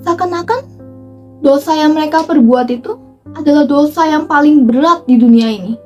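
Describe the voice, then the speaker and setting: A woman delivers a dramatic theatrical monologue in an impassioned, rhetorical manner with emphatic pauses. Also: high-pitched, darkish tone, highs cut off. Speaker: monologue performer. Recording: distant microphone with music underneath. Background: background music.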